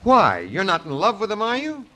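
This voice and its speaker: A man's voice: high voice, huge pitch swings, moderate pace, sharp tone, heavily acted. A man playing a vain, wisecracking middle-aged father in a 1940s radio operetta, with self-satisfied joking patter. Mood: breezy, wry. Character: boastful wisecracking long-absent father, breezy vain clown. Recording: vintage 1940s radio broadcast, music behind.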